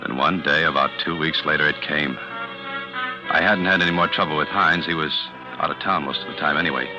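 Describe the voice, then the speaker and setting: Streetwise young ex-convict, American, extremely low voice, tough and wary; muffled vintage radio drama. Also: male voice, fairly quick, music in the background. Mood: grim narration. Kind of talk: 1940s radio acting.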